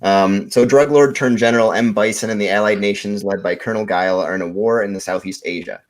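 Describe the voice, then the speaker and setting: Man, low pitch, casual podcast pitch, informal rambling delivery. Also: steady tone, fast talker. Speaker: podcast contestant pitching movie reboots.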